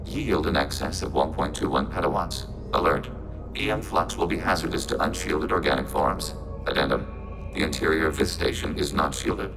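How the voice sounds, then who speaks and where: Super deep robot ai, calm and logical, stiff formal phrasing, machine filter, game music under it. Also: male voice, moderate pace, vocal fry, low-quality recording. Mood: flat alert. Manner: formal, clipped, emotionless phrasing. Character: calm, logical geth robot, a synthetic collective mind. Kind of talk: video game character acting.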